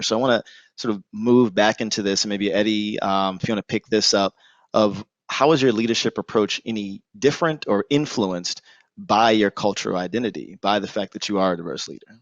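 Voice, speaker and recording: A man's voice: lowish voice, no room sound, muffled recording. A man moderating a panel discussion as host, with an encouraging, appreciative manner.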